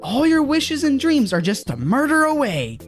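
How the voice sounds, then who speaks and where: A woman's voice: sits high, huge pitch swings, moderate pace, soft background music, full character voice. A woman playing a scheming, upbeat assistant and kids' show host in a horror comedy audio drama. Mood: cheerfully sinister.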